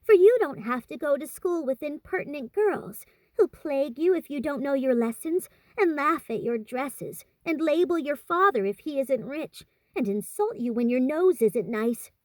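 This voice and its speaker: A woman's voice: high-pitched, lively intonation, normal speed, darkish tone, dry recording, voice pushed up. A woman voicing a fussy, proper young girl in a storybook, pert and full of wounded dignity. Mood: indignant. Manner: pert, indignant, affectedly prim.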